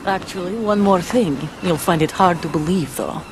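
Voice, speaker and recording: A woman's voice: lowish voice, moderate pace, noisy background, close to an everyday voice. An actress playing a blunt, distrustful woman soldier in a video game. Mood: puzzled, irritated.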